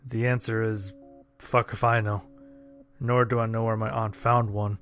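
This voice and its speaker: A man narrating a scripted first-person horror-comedy story in a deadpan, sardonic manner. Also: fairly low pitch, measured pace, soft background music.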